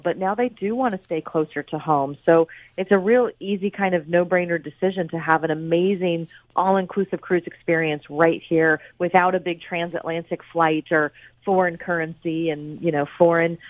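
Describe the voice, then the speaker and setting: Low-voiced woman on a phone line. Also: dry recording. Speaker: cruise line representative. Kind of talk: promotional interview talk.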